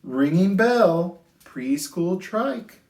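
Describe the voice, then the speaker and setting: A man hosting a children's storytime, reading picture books aloud in an expressive, sing-song way with drawn-out phrases. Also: high-pitched. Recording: roomy, echoey indoor sound.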